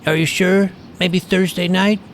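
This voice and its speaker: A man playing an earnest, self-important boy detective, narrating a comic mystery in a deadpan, precise way. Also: sits high, unhurried, a little hiss or hum. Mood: eager, inquisitive. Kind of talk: first-person scripted narration of a comic mystery.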